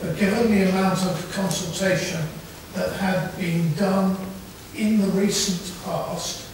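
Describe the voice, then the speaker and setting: A man's voice: sits high, steady tone, takes it slowly. A man giving a formal reply as a councillor at a public meeting. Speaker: councillor answering questions. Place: large wood-panelled council meeting room. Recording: echoey, noisy meeting room, distant mic.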